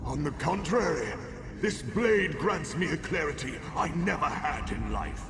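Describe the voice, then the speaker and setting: An actor playing an arrogant, vengeful undead villain in a fantasy video game, gravelly, imperious and theatrical. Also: male voice, a little high, lively intonation, unhurried, noisy background. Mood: cold, imperious. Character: arrogant, vengeful undead male villain wielding a cursed blade. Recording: loud music behind the voice.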